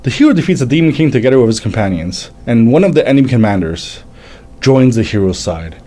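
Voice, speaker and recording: A man in his thirties whose first language is French, hosting a casual live manga reading and chat, halting and stumbling as he reads aloud. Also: medium pitch, very animated, dark tone. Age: 30s. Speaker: livestream host, manga reviewer.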